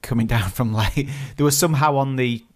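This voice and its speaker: A man co-hosting a podcast in casual chat, with a mid-pitched voice. He jokes and laughs often as he talks. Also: normal speed. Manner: jokey, laughs a lot. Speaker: podcast co-host.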